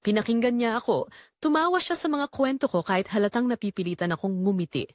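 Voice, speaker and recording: A woman's voice: on the high side, quick pace, a little mellow, no room sound, studio quality, close to an everyday voice. A woman playing a struggling single mother, weary but hopeful, narrating a confessional first-person audio drama to her listeners in an emotive way. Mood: reflective.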